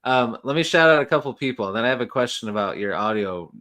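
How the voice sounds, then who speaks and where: A man hosting a book live stream, asking questions casually, shouting out viewers, relaxed and encouraging. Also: mid-range voice. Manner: laid-back, encouraging, quick laughs.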